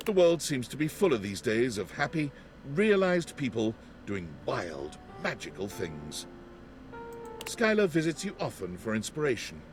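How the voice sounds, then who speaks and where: An actor reading the ending narration of a video game in a measured, expressive way. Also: male voice, medium pitch. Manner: measured, expressive delivery with lingering emphasis. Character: calm adult male storybook narrator. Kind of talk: scripted epilogue narration. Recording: game audio over loud music.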